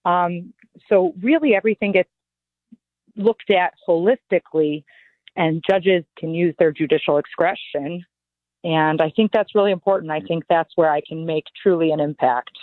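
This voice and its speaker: A woman in her forties, a judicial candidate, answering interview questions in an earnest, measured, lawyerly way. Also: fairly low pitch, dry recording. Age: 40s. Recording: phone-like line, dry.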